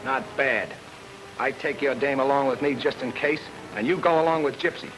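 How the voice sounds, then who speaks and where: A man's voice: medium pitch, normal speed, lightly acted, rough recording. An actor playing a suspicious, streetwise robber in an old-time radio crime drama, talking in clipped tough-guy slang. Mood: wary, bargaining. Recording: old radio recording with music.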